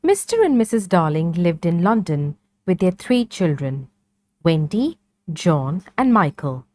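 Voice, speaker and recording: A woman narrating a scripted children's story in a measured, clearly phrased read-along manner. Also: fairly low pitch, very animated, a little mellow, slight background noise.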